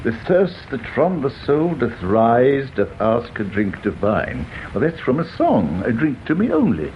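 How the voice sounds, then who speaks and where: An actor playing a fussy, hesitant English small-town newspaper editor in a radio drama. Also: male voice, medium pitch, huge pitch swings, a little slow, dull audio, slight background noise. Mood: reciting slowly.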